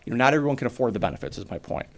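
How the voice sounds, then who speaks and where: A man in his forties with a New England accent, giving a brisk, plainspoken financial presentation to a town board and explaining with everyday examples. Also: medium pitch, expressive. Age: 40s. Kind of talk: financial presentation at a public meeting.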